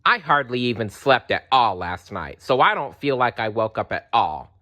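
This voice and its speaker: A man voicing a young storybook schoolgirl who is tired and cranky, in an expressive, childlike way. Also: medium pitch, normal speed.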